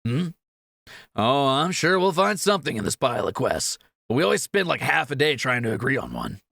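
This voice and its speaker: A man's voice: medium pitch, big pitch swings, fairly quick, creaky, sharp tone, no room sound, clean studio sound, full character voice. A man playing a greedy, cheeky young thief in a fantasy audio roleplay, teasing and flippant.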